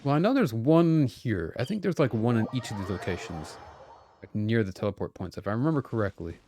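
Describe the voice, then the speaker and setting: A man's voice: fairly low pitch, lively intonation, brisk, dark tone, slight background noise. A man chatting casually as a game streamer, talking to himself and to his viewers.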